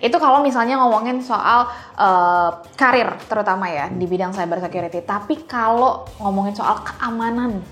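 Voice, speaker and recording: A woman's voice: medium pitch, moderate pace, a bit bright, faint music behind. A young woman hosting a podcast, asking questions in a casual, informal way with English words mixed in. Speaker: podcast host. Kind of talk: casual chatty interviewing.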